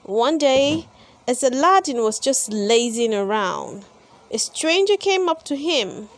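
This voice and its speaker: A woman reading a children's story aloud as host, with deliberate, dramatic emphasis. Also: high-pitched, expressive. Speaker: storybook reader and channel host. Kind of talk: expressive children's read-aloud narration.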